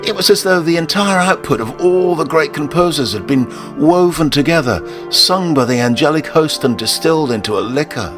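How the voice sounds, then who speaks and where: A man giving a dramatic, wry first-person narration of a short story, expressive and theatrical. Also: a little high, moderate pace, few pauses, music in the background.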